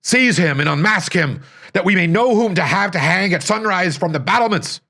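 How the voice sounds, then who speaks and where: A man voicing a bold, robust, enraged prince in a gothic story, hoarse and loudly commanding. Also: sits high, animated, moderate pace, pitched above the performer's own voice, exaggerated voice. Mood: outraged, commanding. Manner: loud, clear, commanding declamation.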